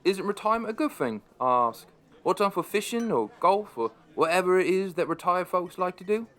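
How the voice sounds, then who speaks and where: A man's voice: high-pitched, expressive, fairly quick, fairly bright tone. An actor playing a wry, chatty pub landlord, narrating his story in a conversational way for an audio drama. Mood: curious.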